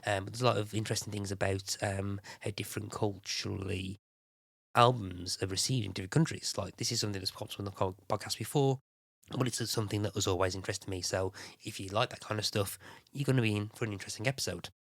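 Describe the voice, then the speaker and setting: Low-voiced man hosting a podcast, croaky. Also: fairly even intonation, quick pace, no room sound. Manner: rambling, restarts often, chuckles. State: slightly croaky voice. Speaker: podcast host. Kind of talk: casual podcast chat.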